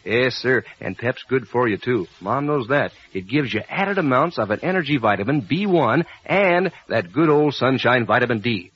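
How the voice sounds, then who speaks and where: A man's voice: mid-range voice, lively intonation, moderate pace, few pauses, breathy edge. An American man announcing in 1940s radio advertising style for children, with a folksy, enthusiastic sales delivery. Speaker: radio announcer and sponsor pitchman. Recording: dull old radio recording.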